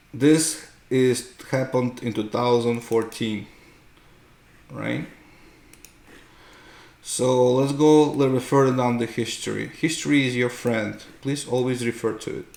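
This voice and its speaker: Slow, gravelly man explaining charts. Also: medium pitch, darkish tone. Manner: explanatory, often checks with "right?". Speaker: host, market analyst. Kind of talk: unscripted chart tutorial commentary. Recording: roomy, echoing room sound.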